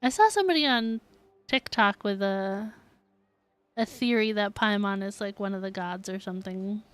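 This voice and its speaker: A woman's voice: medium pitch, normal speed, fairly bright tone, clean tone. A woman game streamer chatting casually to her live viewers as she plays.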